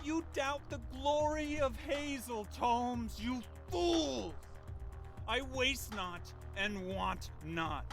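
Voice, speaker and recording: Casting: deranged vampire cult leader, video game. Sharp, slow, theatrical zealot with archaic, sermonizing venom, music underneath. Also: male voice, very high-pitched, animated, full character voice. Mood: defiant, fanatical.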